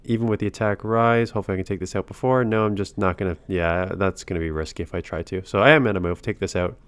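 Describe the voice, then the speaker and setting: A sick man with a stuffy, nasal voice on the low side, talking through his game in a loose, casual way. He often cuts himself off and restarts his sentences. The sound is close and dry, with no room in it. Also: clean tone, no echo. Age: adult father. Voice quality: nasal, congested. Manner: thinks aloud, breaks off and restarts. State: sick with a cold. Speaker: gaming channel host. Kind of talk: casual battle commentary.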